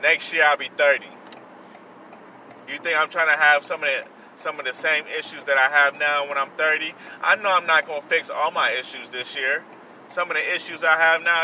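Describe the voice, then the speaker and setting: A young man in his twenties delivers a heartfelt podcast monologue, circling back on his own words and leaning on fillers. His voice has a very bright, thin edge. He sounds a little off-mic, outdoors, with faint ambient noise behind him. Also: medium pitch, distant mic, low-quality recording. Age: 20s. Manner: earnest, repetitive, self-reflective, with many fillers. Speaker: podcast host. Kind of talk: personal motivational monologue.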